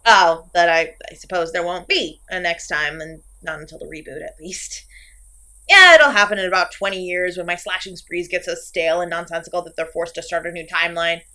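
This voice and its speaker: A woman's voice: fairly low pitch, a little fast, sharp tone. A woman playing an insecure, petulant, chatty slasher killer in a comedic horror audio roleplay, theatrical and exasperated, swinging between sulky and manic. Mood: deflated, awkward.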